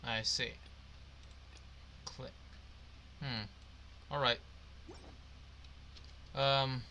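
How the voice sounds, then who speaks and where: Guy gaming, slow halting commentary, bright punchy voice, muttering to himself, bit of noise behind. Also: medium pitch, steady tone. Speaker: gamer playing through a story game. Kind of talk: casual gameplay commentary.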